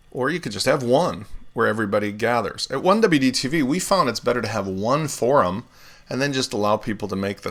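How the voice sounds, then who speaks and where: A man hosting a live software tutorial, explaining steps in a relaxed way with everyday comparisons while still getting over laryngitis. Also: medium pitch. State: recovering from laryngitis, swallowing often. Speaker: web developer tutorial host.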